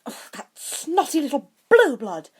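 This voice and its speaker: A woman voicing a witty schoolgirl witch with a sharp, sarcastic tongue, in a storybook reading. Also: sits high, big pitch swings, unhurried, voice pushed up. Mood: indignant. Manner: sarcastic, biting.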